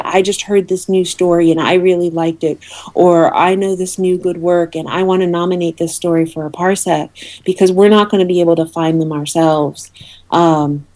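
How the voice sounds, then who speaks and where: A woman's voice: on the low side, a little mellow. A woman speaking as an interview guest, explaining things earnestly in a conversational way. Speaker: interview guest, awards committee representative.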